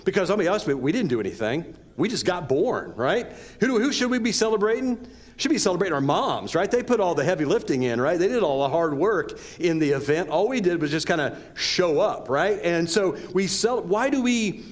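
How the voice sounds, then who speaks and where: A man's voice: high voice, sing-song, fairly quick. A man with an American accent giving a conversational sermon, repeating rhetorical questions and often checking in with his listeners. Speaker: preacher.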